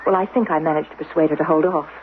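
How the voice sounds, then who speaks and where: A woman's voice: low-pitched, fairly even intonation, rapid-fire, narrow, tinny audio, close to an everyday voice. An actress playing a shrewd, probing rebel wife guarding her son, with direct, coaxing delivery in a radio drama. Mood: grimly worried. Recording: noisy, band-limited 1970s radio broadcast.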